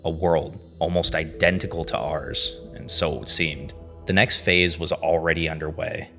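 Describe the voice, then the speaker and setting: A man delivers dramatic scripted storytelling narration, phrasing deliberately with weighty pauses. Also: rumbling low, steady tone, a bit bright, soft background music. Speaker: narrator and host of a horror series review.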